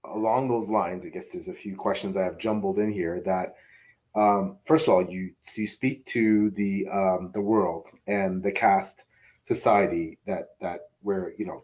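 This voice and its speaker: A man's voice: low-pitched, a little mellow, rough recording. A man co-hosting a podcast, asking long hesitant questions full of fillers. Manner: rambling, hesitant, many fillers. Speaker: podcast co-host. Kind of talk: casual interview questions.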